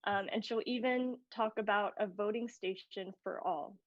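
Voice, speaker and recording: A woman's voice: mid-range voice, moderate pace, no echo. A woman co-hosting an activist live stream, reading an upbeat script. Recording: dry, muffled audio.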